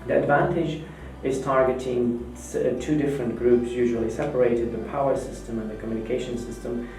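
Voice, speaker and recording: A man's voice: mid-range voice, steady tone, fairly quick, a little mellow. A man, a senior engineer, introducing himself with frequent hesitations. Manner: hesitant with many fillers. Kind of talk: interview to camera. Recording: echoey room from far off with music behind.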